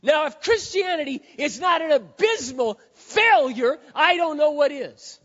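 A high-voiced man preaches in a large hall, his sound dull and trailing a long reverb. He is hoarse and swings from hushed, earnest pleading to loud, impassioned shouting. He is a pastor delivering a sermon. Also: expressive, unhurried. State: hoarse, says he has lost his voice.

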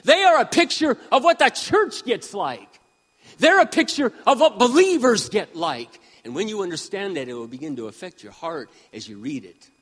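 Man in his sixties, preacher, high lively voice, emphatic, long church reverb. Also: moderate pace. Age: 60s. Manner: impassioned, swinging between loud emphatic peaks and quiet asides. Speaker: pastor. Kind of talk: sermon.

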